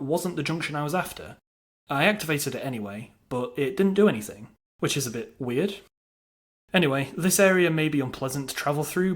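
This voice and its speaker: Wry man narrating a game review. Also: mid-range voice. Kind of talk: scripted video review narration.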